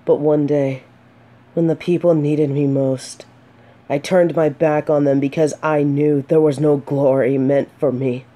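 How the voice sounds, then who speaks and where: An earnest exiled female knight, voiced by a woman for a romantic fantasy audio drama. Her voice is low and mellow, and she takes her time. She carries herself solemnly, yet her words can stumble when she is flustered. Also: fairly even intonation, a little slow. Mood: solemn, remorseful. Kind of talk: scripted romantic audio roleplay, addressing the listener.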